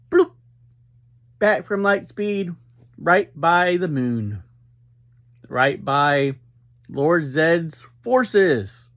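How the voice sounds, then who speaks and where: Male review host, higher-pitched voice, unhurried and halting, emphatic, upbeat, prone to tangents. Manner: halting, easily sidetracked, emphatic; upbeat, energetic. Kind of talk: casual rambling episode review.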